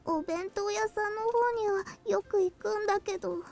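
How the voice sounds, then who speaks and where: Shy little schoolgirl, extremely high voice, hesitant, soft-spoken, gentle, visual novel acting, music behind. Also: fairly even intonation, quick pace, gravelly. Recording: game audio with music behind.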